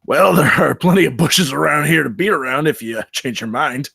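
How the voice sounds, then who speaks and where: A man's voice: fairly high pitch, fairly quick, creaky, a bit bright, breathy edge, no echo, clean studio sound, voice pushed up, exaggerated voice. A man voicing a jokey, whiny, melodramatic Bigfoot in a comedy screenplay read. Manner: theatrical, wailing when upset.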